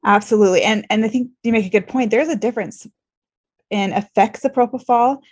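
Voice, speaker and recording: A woman's voice: on the high side, brisk. An American woman hosting a conversational medical interview, speaking in an informal and earnest way. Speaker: podcast host and ICU clinician.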